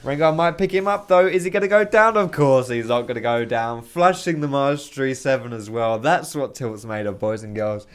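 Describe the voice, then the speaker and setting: A man, his voice going hoarse, giving lively, excitable play-by-play commentary over video game footage with casual slang. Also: mid-range voice, normal speed, hardly any pauses. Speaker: video host. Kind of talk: gameplay commentary.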